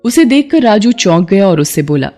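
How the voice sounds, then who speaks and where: A woman's voice: mid-range voice, sing-song, normal speed, warm tone, soft background music. A woman reading scripted narration for a children's moral story.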